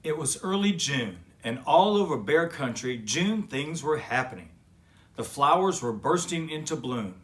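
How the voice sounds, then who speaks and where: Higher-pitched man, kids storytime host, gentle and upbeat, talks right to the little ones. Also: sing-song, a little slow. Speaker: children's story show host. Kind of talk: children's storytelling, talking directly to young kids.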